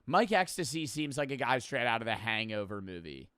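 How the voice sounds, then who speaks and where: A man reacting to a video with excitable, joking commentary, swearing as he riffs. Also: mid-range voice, a little fast, clear, resonant tone, no echo, clean studio sound. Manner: excitable, swearing, riffing jokes.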